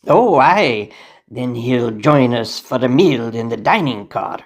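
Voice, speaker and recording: A man's voice: medium pitch, expressive, takes it slowly. Man playing an officious train conductor. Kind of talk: period audio drama acting.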